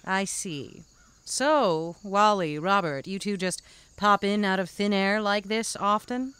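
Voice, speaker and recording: A woman's voice: medium pitch, takes it slowly, fairly bright tone, clean tone. A woman playing a composed, polite, dryly suspicious lady in a comedic audio drama. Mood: dry, questioning.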